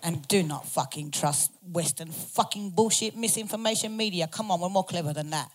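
Aussie panel speaker, loud and blunt, swears, lots of slang. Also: medium pitch, moderate pace. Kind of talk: speaking at a community forum.

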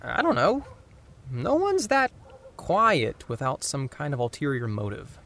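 A man's voice: fairly high pitch, huge pitch swings, unhurried, pushed and bright, slight background noise, full character voice. A man playing a wry, cynical teenage boy next door in a naturalistic audio drama, sardonic and prone to exaggerate. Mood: exasperated.

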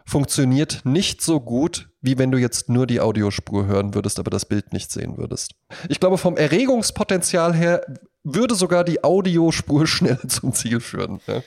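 A man's voice: medium pitch, sing-song, moderate pace, creaky, darkish tone. A man co-hosting a casual podcast, talking a lot in an emphatic, jokey way. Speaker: podcast co-host.

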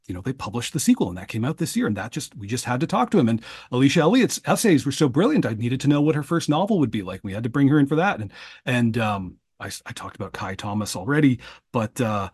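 A man's voice: medium pitch, darkish tone, breathy edge, no room sound, clean studio sound. A man, co-hosting and producing a book podcast, talking casually with frequent restarts and fillers. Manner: halting, many restarts and fillers.

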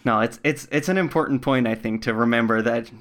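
A man with a medium-pitched voice hosts an interview. He sounds conversational and attentive, affirming points and guiding the talk along. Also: fairly quick, a bit bright. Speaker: podcast host, interviewer.

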